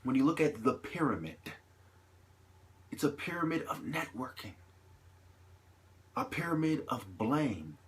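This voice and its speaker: A man delivering an impassioned, unscripted opinion monologue as a vlogger, with halting phrasing, repeated points and rhetorical questions. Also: medium pitch, big pitch swings, a bit bright, a little hiss or hum.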